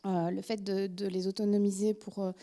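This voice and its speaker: Woman in her forties from France, French accent with a Belgian tinge, warm mellow tone, chatty psychologist addressing a room. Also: mid-range voice, moderate pace. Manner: chatty and animated, with many filler words and restarts. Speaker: psychologist giving a public talk on parenting. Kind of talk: informal lecture to an audience.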